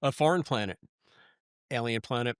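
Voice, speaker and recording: A man's voice: mid-range voice, normal speed, smooth, dry recording, clean studio sound. A man co-hosting a podcast, chatting casually about pop culture in a playful, jokey way. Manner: playful, jokey, animated reactions. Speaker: podcast co-host.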